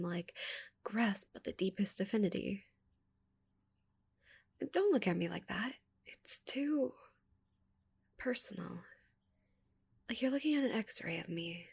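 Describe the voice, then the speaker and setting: A woman plays a touch-starved, curious giant alien woman in an intimate ASMR roleplay. Her voice is soft and whispery, breathy with a light crackle of fry. She speaks hesitantly and awkwardly, tripping over her words in a flustered way. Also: mid-range voice, heavily acted. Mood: overwhelmed, awed.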